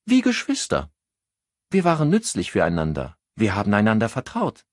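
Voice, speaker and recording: A guarded circus artiste, voiced by a male audiobook reader, answers questions with cool, evasive mockery. The tone is husky and lifted, calm under pressure in a crime novel. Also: fairly low pitch, normal speed, no room sound, studio quality, pitched above the performer's own voice.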